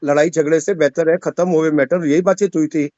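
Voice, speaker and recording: A man's voice: a little high, steady tone, a little fast, warm tone, dry recording. A man on a recorded phone call, insistently pressing the other person with repeated questions. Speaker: caller questioning another man about a dispute. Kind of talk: phone conversation, probing questions. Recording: muffled, band-limited phone call recording.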